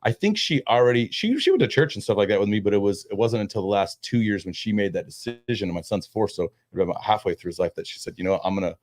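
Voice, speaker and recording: Fast-talking man, low voice, dry sound. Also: lively intonation, a little mellow, no room sound. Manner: quick, loose talk with many restarts and "dude". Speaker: guest. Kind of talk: casual conversation.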